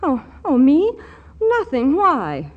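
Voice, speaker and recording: A poised, witty young woman in a 1940s radio comedy. Her highish voice is very animated as she gently chides. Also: takes it slowly, phone-quality sound, heavily acted. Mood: flustered, firm. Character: composed, witty young woman employed in a wealthy household.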